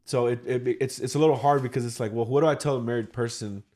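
A man's voice: medium pitch, steady tone, fast talker, dark tone. A man in his thirties, a podcast host, talking in a laid-back, casual way full of "like" and "you know". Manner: laid-back, loose, filler-heavy talk with "like". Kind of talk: casual chat.

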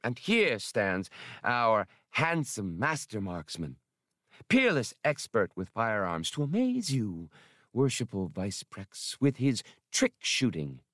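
A man's voice: fairly low pitch, huge pitch swings, slow pace, a bit bright, no room sound, studio quality, heavily acted. A man voicing a proud, effusive female alien mistress of ceremonies with formal, theatrical speech in an audiobook. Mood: effusive, grand.

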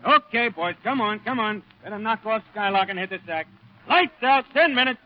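An actor playing a gruff, fatherly Marine sergeant in a 1940s radio drama. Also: sits high, lively intonation, normal speed, a little hiss or hum. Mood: brisk, commanding. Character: gruff, fatherly Marine sergeant looking after his men. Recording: muffled old radio broadcast recording.

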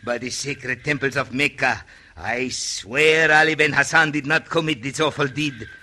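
Sharp-voiced florid villain. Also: male voice, medium pitch, moderate pace. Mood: pleading. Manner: florid, pompous broken English. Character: villainous Middle Eastern camel driver, boastful then cringing. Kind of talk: 1950s radio western acting. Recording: vintage radio recording, faint noise.

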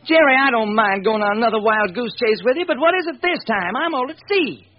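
Blunt police lieutenant, very high-pitched, quick, wisecracking, old radio drama, muffled recording. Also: male voice, lively intonation, a little hiss or hum. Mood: wry, baffled. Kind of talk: old-time radio crime drama acting. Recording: dull vintage radio recording.

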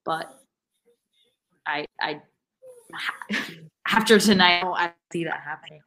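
A woman co-hosting a sports podcast, giving measured, analytical opinions in casual conversation. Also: on the low side, bright, forceful tone, low-quality recording. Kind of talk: casual soccer fan discussion.

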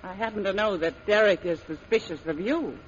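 A woman's voice: mid-range voice, a little fast, close to an everyday voice. An actress playing a bold, cunning woman secret agent, taunting and commanding, in 1930s radio serial style. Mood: urgent, threatening. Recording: muffled, noisy 1930s radio recording.

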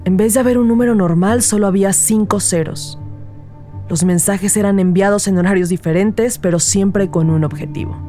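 A woman's voice: mid-range voice, moderate pace, warm tone, faint music behind, natural voice. A woman playing an observant, empathetic rookie detective who narrates an audio drama in the first person. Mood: somber, observant.

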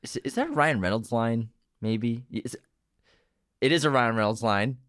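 A man's voice: medium pitch, normal speed, fairly bright tone, no echo, clean studio sound. A man co-hosting a casual movie podcast, enthusiastic as he runs the quiz games. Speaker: podcast co-host.

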